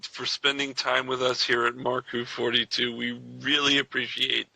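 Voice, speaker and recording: A very sharp, bright-voiced American man hosts a radio interview. His mid-pitched voice has a creaky edge, and he is recorded close and dry. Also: little pitch movement, moderate pace, no room sound, rough recording. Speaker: radio show host. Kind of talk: interview hosting.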